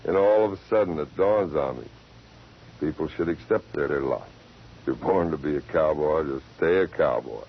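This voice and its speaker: A very deep-voiced, gravelly cowboy killer in a 1940s radio play. He is cool, laconic and sardonic, a cynical charmer. The old broadcast sounds muffled. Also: moderate pace, full character voice. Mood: resigned, wry. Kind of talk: 1940s radio drama acting.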